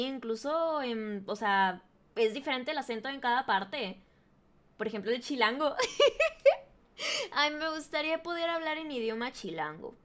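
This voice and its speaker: A woman with a Mexican accent giving casual, playful, expressive commentary as host of a reaction video. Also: a little high.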